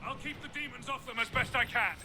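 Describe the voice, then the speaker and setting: A man's voice: extremely high pitch, a little fast, loud music behind the voice. A man playing a valiant, earnest warrior in a video game, rousing in his delivery. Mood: determined. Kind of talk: video game dramatic acting.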